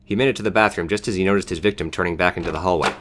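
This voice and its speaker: Low-pitched man narrating fiction. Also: rapid-fire, a bit bright, a little hiss or hum. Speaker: audiobook narrator.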